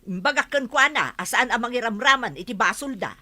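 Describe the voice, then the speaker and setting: An actress with an Ilocano accent playing a worried, nagging village wife in a comedy radio drama. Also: female voice, on the high side, expressive, brisk, bright, forceful tone, heavily acted. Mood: complaining.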